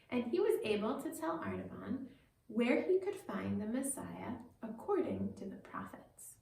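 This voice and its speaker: A woman's voice: medium pitch, a little mellow. A woman pastor telling a story to children, explaining things simply and addressing them directly, recorded with a roomy echo from a distant microphone.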